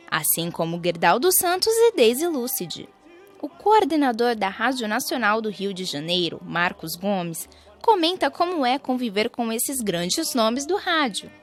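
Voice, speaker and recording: A young Brazilian woman reading scripted narration for a radio documentary. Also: fairly high pitch. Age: young adult.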